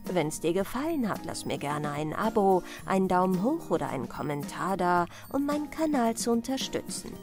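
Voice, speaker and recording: A woman reading a fairy tale for bedtime in an expressive, dramatic storytelling manner. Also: lowish voice, moderate pace, hardly any pauses, over music. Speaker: audiobook narrator.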